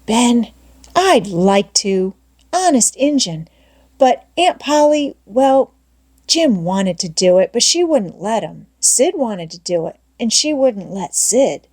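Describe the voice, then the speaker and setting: A woman voicing a sly boy in an audiobook, with folksy rural phrasing and cagey, drawn-out reluctance. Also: a little high, big pitch swings, darkish tone, slightly rough, exaggerated voice. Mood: mock reluctant. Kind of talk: audiobook character voice.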